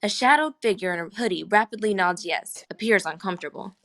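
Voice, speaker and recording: A woman serves as narrator, reading out screenplay stage directions. Her voice sits at a medium pitch as she delivers the scripted action. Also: brisk, a bit bright.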